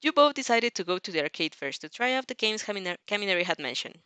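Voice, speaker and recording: A woman with a mid-pitched voice narrates fan fiction in an expressive, informal way. She sometimes repeats a phrase after a stumble and drops in casual reactions. The sound is close and dry. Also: fast talker, clean tone, no echo.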